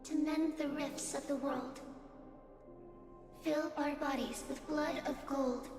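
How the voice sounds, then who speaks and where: A woman voicing a childlike little girl guide in a game, speaking in a deliberate, encouraging manner. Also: high-pitched, steady tone, a little mellow. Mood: solemn recital. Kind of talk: dramatic game story acting. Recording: echoey hall reverb, music behind.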